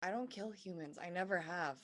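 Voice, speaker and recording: A woman's voice: lowish voice, fairly quick, fairly bright tone, lightly acted. An actress playing an indignant, foul-mouthed female cougar accused of wrongdoing in a staged reading. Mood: bitter, defiant. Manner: indignant, sweary, blunt.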